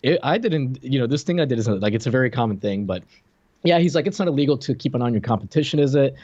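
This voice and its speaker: A man of average pitch co-hosts a podcast. He explains and comments casually, as in conversation. The recording is a little dull and lo-fi. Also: lively intonation, quick pace, a little mellow. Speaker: podcast co-host. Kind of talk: casual chat, commentary on a TV episode. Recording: slightly dull, lo-fi sound.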